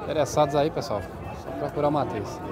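A man vlogging his walk through an outdoor livestock market, greeting sellers in a brisk, friendly, chatty way. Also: on the high side. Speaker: vlogger touring a cattle market. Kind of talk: casual on-the-spot vlog, asking sellers prices. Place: outdoor livestock fair pens. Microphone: handheld phone camera. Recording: outdoor market with loud crowd and cattle.

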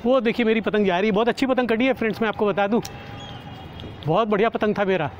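A man's voice: sits high, fast talker, dull audio. A middle-aged man giving casual, explanatory vlog commentary to his viewers, talking to them like friends. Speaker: kite flyer presenting his vlog. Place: outdoors on an open rooftop. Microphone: clip-on lapel microphone. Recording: outdoor urban ambience, traffic noise. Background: faint outdoor city and vehicle noise.

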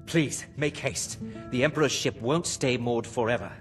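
A man's voice: mid-range voice, moderate pace, faint music behind, lightly acted. An actor playing an anxious, scheming, pompous nobleman in a fantasy game, with formal and dramatic delivery. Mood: urgent, conspiratorial. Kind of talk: fantasy video game acting.

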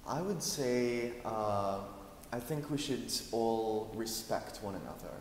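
A man's voice: medium pitch, expressive, darkish tone, slight background noise. A young man from the United States speaking sincerely and steadily to camera in a large indoor hall. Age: young adult. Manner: sincere, measured with fillers. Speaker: interviewee. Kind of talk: casual speech to camera. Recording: echoey hall reverb.

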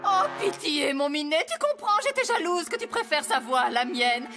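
A woman's voice: sits high, big pitch swings, moderate pace, over music. An actress playing a jealous, wicked witch queen in a cartoon, with a theatrical, mock-sweet delivery. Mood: pleading.

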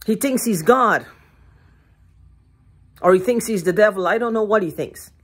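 An older woman ranting to her phone camera in a living room, heated, profane and repetitive, with a hoarse throat. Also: mid-range voice, moderate pace. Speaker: vlogger. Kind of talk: agitated rambling monologue to camera. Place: living room at home, indoors. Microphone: phone held at arm's length.

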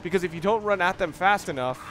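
A man with a somewhat high-pitched voice talks through a video game as he plays it. His explanations are analytical and halting, unscripted. Game sounds and noticeable noise run behind his voice. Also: animated, a bit bright. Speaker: let's play commentator. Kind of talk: unscripted gameplay commentary. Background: video game sounds and music.

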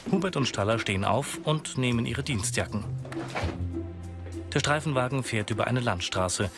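A man's voice: mid-range voice, lively intonation, normal speed, music in the background. A man with a standard German accent reading concise, neutral scripted narration as an audio describer.

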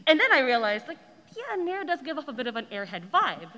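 A woman's voice: high-pitched, sing-song, fast talker, a crowd in the background. A woman asking a casual fan question from the audience, rambling, hesitant and apologetic. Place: large indoor convention panel room. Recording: echoey hall, long reverb.

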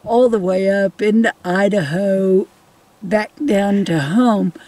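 A woman co-hosting a travel vlog in casual chat, adding to her partner's points in a measured way. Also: medium pitch, a little mellow, no room sound.

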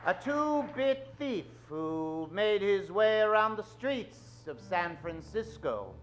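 A man in middle age narrating an old-time radio drama on stage, suave, with dramatic pauses. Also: high voice, sing-song, soft background music. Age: middle-aged. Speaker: host and announcer of a radio show. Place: stage of a small theatre. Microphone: vintage-style stand microphone.

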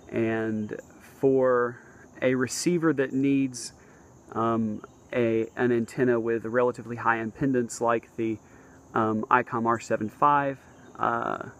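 A man casually explaining his hobby project to viewers outdoors, unscripted, in a hesitant, halting and modest manner. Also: medium pitch, creaky, fairly bright tone. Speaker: amateur radio hobbyist showing his antenna. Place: outdoors on a house roof among trees.

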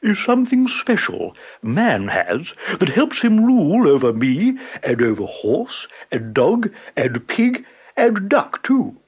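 A man's voice: a little high, expressive, moderate pace, hardly any pauses, slightly rough, highs cut off, pitched above the performer's own voice. Man doing a stammering, timid buffalo. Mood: proud. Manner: stammering, nervous. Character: timid, proud male water buffalo.

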